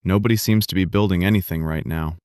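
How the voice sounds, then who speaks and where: A man voicing a tired small-town man who speaks quietly and glumly, in an audiobook reading. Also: low-pitched, quick pace, clean tone, no echo, studio quality, close to an everyday voice. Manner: quiet, glum. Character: weary small-town man bringing bad news. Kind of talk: audiobook character voice.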